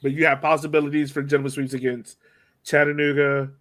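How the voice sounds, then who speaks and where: A man's voice: a little high, flat delivery, fast, a bit bright. A man hosting a casual sports podcast, chatting informally and speaking straight to his co-hosts. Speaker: podcast host.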